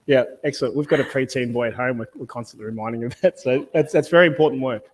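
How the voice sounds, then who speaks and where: A man's voice: mid-range voice, fast, poor audio. A man moderating a conference panel on stage, upbeat and encouraging with his guests.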